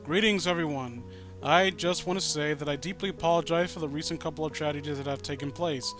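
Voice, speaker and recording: A man voicing an earnest police detective making a formal, measured address in a story reading. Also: a little high, a bit bright, soft background music, natural voice. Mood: grave, apologetic. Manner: formal, measured public speaking.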